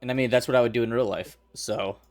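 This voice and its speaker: A man's voice: mid-range voice, steady tone, quick pace, soft background music. A man talking casually as a player in a tabletop role-playing game. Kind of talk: casual chat.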